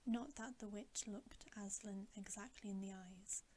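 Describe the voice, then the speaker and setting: A woman whispering a bedtime story in a hushed, breathy, gentle and unhurried ASMR style. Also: medium pitch, fairly even intonation, slight background noise. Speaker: ASMR reader.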